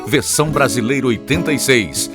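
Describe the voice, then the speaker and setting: A man's voice: medium pitch, normal speed. A man with a Brazilian accent reading scripted narration as the announcer of a radio program. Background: guitar music.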